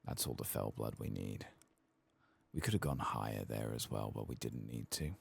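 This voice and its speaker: A man speaking softly in a husky, gravelly voice, giving quiet, unhurried commentary as he streams a game playthrough. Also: rumbling low. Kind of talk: soft-spoken ASMR game playthrough commentary.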